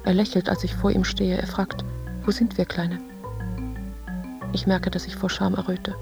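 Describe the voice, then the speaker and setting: An actress playing a dazed, haunted woman recounting nightmarish wartime visions in a slow, detached, dreamlike monologue. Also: mid-range voice, little pitch movement, creaky, music in the background, close to an everyday voice. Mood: dazed, uneasy. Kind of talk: literary audio drama monologue.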